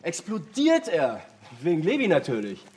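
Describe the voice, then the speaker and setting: A man's voice: a little high, wildly swooping pitch, moderate pace, a little mellow. An actor playing a blunt, resentful teenage boy in a radio drama, talking in youth slang. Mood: scornful, agitated.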